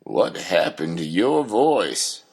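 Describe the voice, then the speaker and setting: A man playing a gruff, doubting old father figure in an audio drama, deadpan and profane, with a husky voice. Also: low voice, slow pace, full character voice. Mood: dry, doubting.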